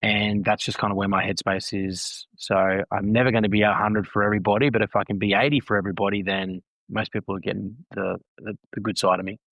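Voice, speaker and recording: Low-voiced man talking earnestly. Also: flat delivery, quick pace, few pauses, a bit bright, no room sound, low-quality recording. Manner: earnest, reflective, repeating phrases. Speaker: podcast guest. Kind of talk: casual conversation.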